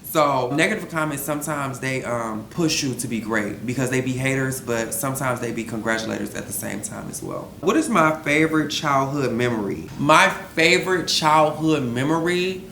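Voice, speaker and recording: A young man vlogging from home, answering viewer questions in a candid, slangy, animated way. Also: medium pitch, moderate pace, vocal fry, few pauses. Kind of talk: casual vlog Q&A. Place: room at home he records in. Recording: echoey room, faint background hum.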